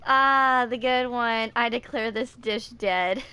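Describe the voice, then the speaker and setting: A woman in her twenties talks casually in a bright, high voice, drawing her words out very slowly. She sounds amused and theatrical, on a home microphone with some noise and room ambience. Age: 20s. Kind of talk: casual reaction to game voice lines.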